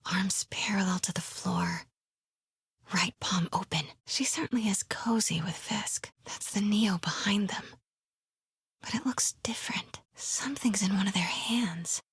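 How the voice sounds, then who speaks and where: A woman playing a plucky, quick-witted young female investigative reporter in a video game, with a breathy voice. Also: mid-range voice, no room sound, studio quality, heavily acted. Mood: hushed, focused. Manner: hushed muttering to herself.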